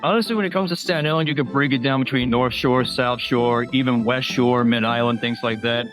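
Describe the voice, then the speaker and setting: A man in a documentary interview, explaining things in a quick, conversational way. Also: medium pitch, a bit bright, faint music behind. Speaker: local resident interviewee.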